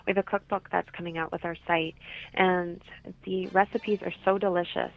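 A woman's voice: fairly low pitch, flat delivery, normal speed, vocal fry. A woman explaining things as an interview guest, heard over a telephone. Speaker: wellness consultant, radio guest. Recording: tinny narrowband phone line.

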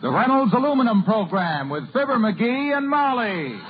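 A man's voice: very high voice, big pitch swings, moderate pace, over loud music. A man with an American accent announcing and reading a scripted commercial in polished, emphatic 1950s radio salesman style. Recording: tinny, noisy vintage radio broadcast.

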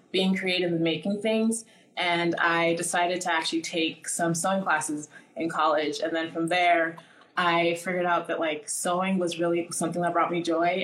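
A woman's voice: fairly low pitch, a bit bright. Chatty young woman in an echoey room. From born in Nigeria, raised in the US. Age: 20s. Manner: chatty, many "like" fillers. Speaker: guest. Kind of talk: casual interview.

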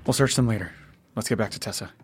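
A man's voice: fairly low pitch, quick pace, slightly rough. A man playing a composed, protective survivor in an audio drama, with a terse, practical manner. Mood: terse, commanding. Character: John, composed, protective male survivor giving orders.

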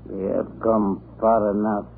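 An actor playing a sullen, brooding old Russian prisoner in a 1930s radio horror drama, terse and halting, with a gravelly voice. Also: male voice, lowish voice, little pitch movement. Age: older. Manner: terse, halting, repeating phrases. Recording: noisy, narrow-band 1930s radio recording.

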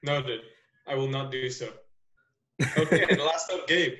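A man's voice: medium pitch, unhurried, roomy sound, a crowd in the background. A young man from Canada, hosting a casual podcast, teasing his friends and running the questions. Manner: joking, teasing, runs the agenda. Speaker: podcast host.

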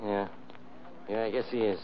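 An American actor playing a blustering, stubborn, gullible police inspector, gruff and bossy, in 1940s radio style. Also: male voice, on the low side, level tone, normal speed, natural voice. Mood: startled, determined. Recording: dull, noisy old radio recording.

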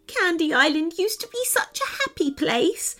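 A woman's voice: very high voice, very animated, moderate pace, bright, forceful tone, soft background music, pitched above the performer's own voice, full character voice. A woman voicing a small, frightened pointy-eared girl from a storybook, speaking in a shaky, tearful, earnest voice.

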